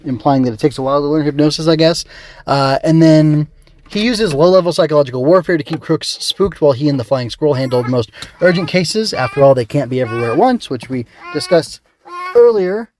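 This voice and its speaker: A man's voice: on the high side, darkish tone. A man hosting a casual solo review podcast, rambling and thinking aloud as he works through his notes. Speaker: podcast host reviewing an audio drama.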